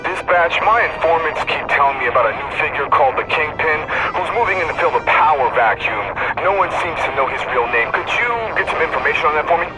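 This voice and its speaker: Guy playing serious cop giving orders, official and firm, bit high, loud music, video game. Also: fast, hardly any pauses, close to an everyday voice, poor audio. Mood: businesslike. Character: serious male police commander calling dispatch.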